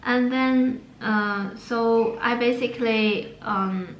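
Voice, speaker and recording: A young woman with a Chinese accent talks slowly and haltingly, with many pauses and fillers. Her voice rings in an echoey room over a faint hum. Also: on the high side, steady tone, dull audio. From China. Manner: hesitant, many fillers and restarts. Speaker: vlogger. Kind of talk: casual talk to camera about language learning.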